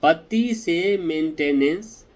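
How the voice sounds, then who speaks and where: Slow, deliberate male legal explainer. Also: on the high side, darkish tone. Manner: addresses viewers directly, deliberate and explanatory. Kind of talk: informative legal explainer to viewers.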